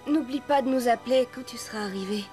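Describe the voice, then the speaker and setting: A woman's voice: on the high side, quick pace, dark tone, faint music behind, close to an everyday voice. A woman voicing a tender, worried mother in a French cartoon dub, soothing and comforting.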